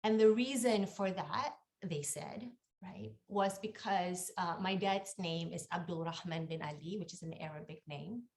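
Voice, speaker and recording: A young woman from Malaysia whose first language is Malay, telling her personal story on a video call in a warm, unhurried way with many ums and uhs. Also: on the low side. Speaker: workshop facilitator.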